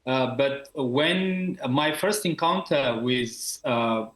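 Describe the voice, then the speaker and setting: A man's voice: medium pitch, expressive, slow, a bit bright, echoey room. A man from Ethiopia, a university professor in his thirties, answering interview questions over a video call with many fillers and restarts.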